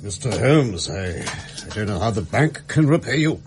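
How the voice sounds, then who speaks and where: An actor playing a stuffy bank director in a radio drama, speaking in a formal, proper manner. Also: male voice, lowish voice, very animated, moderate pace, faint music behind.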